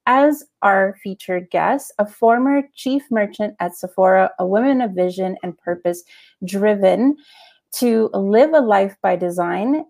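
Brief: woman podcast host, life coach, vocal fry, long winding questions. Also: mid-range voice, a little mellow. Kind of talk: podcast interview hosting.

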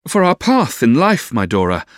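A man voicing an earnest, ardent young Victorian gentleman in love, tender and exhorting, for an audiobook. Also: mid-range voice, big pitch swings, normal speed, no echo, studio quality, voice pushed up, close to an everyday voice. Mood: fervent. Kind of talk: audiobook character voice.

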